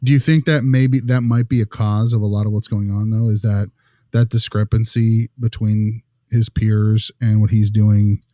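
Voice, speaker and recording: A man's voice: on the low side, level tone, normal speed, mellow, no echo, studio quality, close to an everyday voice. A man playing a friendly, attentive consultant who asks questions in a polite, affirming way in naturalistic dialogue. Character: friendly, attentive male consultant interviewing school staff. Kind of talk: naturalistic acted interview dialogue.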